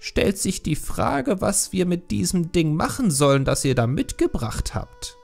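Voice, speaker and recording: A stern old panda grandmother in a storybook, put on by a man narrator. She speaks very slowly in a raised, creaky voice, drawing out her sceptical questions deliberately. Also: sits high, big pitch swings, a little mellow, faint music behind, voice pushed up, full character voice. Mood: stern, questioning. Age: older. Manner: slow, deliberate, drawn-out questioning.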